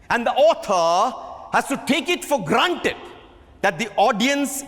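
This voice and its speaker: A man with an Indian accent playing a fiery, imperious theatre director in a declamatory stage reading, pausing dramatically and stressing key words. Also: very high-pitched, fairly bright tone, exaggerated voice. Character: fiery, imperious male theatre director haranguing his actors. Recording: reverberant hall.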